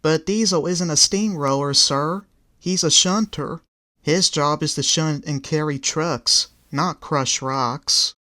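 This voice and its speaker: A man's voice: a little high, takes it slowly, bright, forceful tone. A man voicing an earnest, literal-minded, innocent brake van in a children's railway story.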